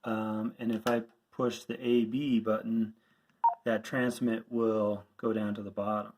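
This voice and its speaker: A man's voice: on the low side, flat delivery, unhurried, a little mellow. Guy walking you through gear step by step, informal and plain, instructor type. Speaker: radio instructor. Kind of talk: informal hands-on tutorial.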